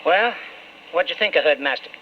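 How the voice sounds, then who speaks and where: An actor playing an eager, placating political hopeful with a folksy, excitable manner in old-time radio drama style. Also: male voice, a little high, fairly quick, a little hiss or hum, natural voice. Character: eager, glad-handing young political hopeful, placating and ambitious. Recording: dull old radio recording.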